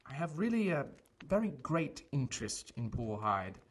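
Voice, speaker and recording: A man acting in an audio drama as a genial but guarded Victorian gentleman doctor, speaking in formal, courteous, earnest phrases. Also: a little high, big pitch swings, normal speed, a little hiss or hum. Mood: earnest, pleading.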